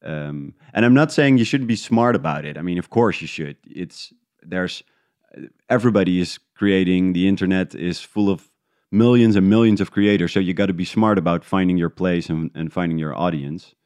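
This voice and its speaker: Deep, smooth-voiced man, thinking aloud. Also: darkish tone, no room sound, studio quality. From Netherlands. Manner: halting, thinking aloud in restarts. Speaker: interview guest, independent podcast creator. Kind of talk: casual, reflective interview talk.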